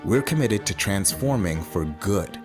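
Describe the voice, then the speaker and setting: A man reading a polished, scripted corporate promotional narration in an even delivery. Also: lowish voice, measured pace. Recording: voice-over over loud music. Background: loud music bed.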